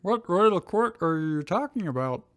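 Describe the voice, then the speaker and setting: A man's voice: sits high, animated, moderate pace, crowd noise, pitched above the performer's own voice. A man voicing a sheepish, puzzled young male coordinator in a wobbly, puppet-like comic voice for a fan fiction reading. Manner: wobbly puppet-like voice that began as a frog impression.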